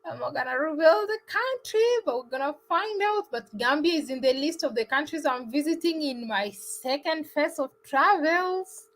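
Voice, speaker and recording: A woman's voice: sits high, expressive, normal speed, few pauses, a bit bright, rough recording. A young Kenyan woman chatting loosely on a live travel stream, answering viewers' comments as she goes. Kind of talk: casual live stream chat, reading comments.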